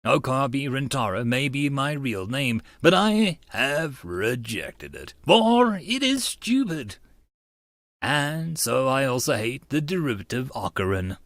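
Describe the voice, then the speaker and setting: A man's voice: medium pitch, sing-song, slow, a bit bright, no echo, heavily acted. A man voicing a paranoid young self-styled mad scientist with a pompous, theatrical delivery. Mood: pompous, indignant.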